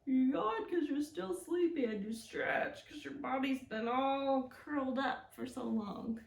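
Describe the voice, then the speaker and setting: A woman leading a children's storytime as a librarian, reading aloud expressively and talking gently and simply to young listeners. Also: high-pitched, measured pace, creaky, slight background noise. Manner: gentle, simple, encouraging talk aimed at small children. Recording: roomy, echoing indoor sound.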